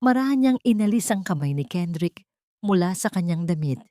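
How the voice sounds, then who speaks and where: A woman with a Filipino accent narrates a dramatic love story from a script. Her voice sits slightly low for a woman, and the recording is dry and close, without room sound. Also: expressive, brisk, mellow, no room sound.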